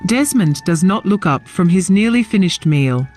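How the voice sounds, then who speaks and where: High-pitched, expressive male narrator. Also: normal speed, faint music behind. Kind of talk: scripted narration of a fantasy audio drama.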